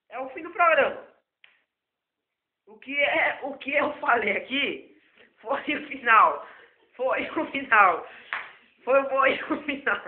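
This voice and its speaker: A hoarse man, giving a rambling, improvised tech lesson as a self-taught computer tutor. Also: very high voice. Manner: rambling and repetitive. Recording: echoey, phone-like lo-fi recording.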